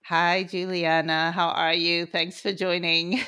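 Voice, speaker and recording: A woman's voice: on the low side, little pitch movement, slow pace, loud music behind the voice. A woman hosting a podcast, asking encouraging questions and prompting her guest again and again. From England. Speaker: podcast host. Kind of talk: casual podcast interview.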